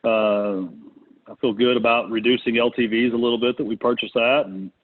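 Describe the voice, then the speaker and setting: A man talks through a thin, phone-like connection as host of a business call. His delivery is casual and unscripted. He pauses to think as he goes. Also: medium pitch, fairly even intonation. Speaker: conference call host, real estate investor. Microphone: conference call connection. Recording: muffled, narrow phone-line sound.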